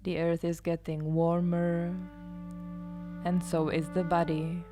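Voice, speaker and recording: A woman leading a guided meditation as a yoga nidra guide, soothing and hushed, often trailing into a near-whisper. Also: on the low side, monotone, slow pace. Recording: live instrumental music behind the voice. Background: soft live instrumental music.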